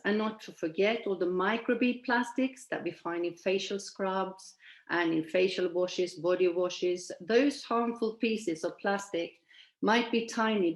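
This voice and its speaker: A woman in her sixties from Sweden, giving an earnest, measured presentation over a video call with some hesitations. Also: medium pitch, roomy sound. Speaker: presenter at an online event. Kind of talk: presentation from shared slides.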